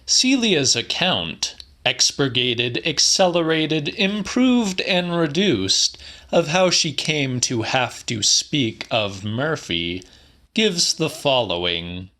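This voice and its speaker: A man narrating a literary audiobook in a slightly dramatic style, with deliberate, theatrical and precise phrasing. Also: a little high, sing-song, pushed and bright. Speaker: audiobook reader.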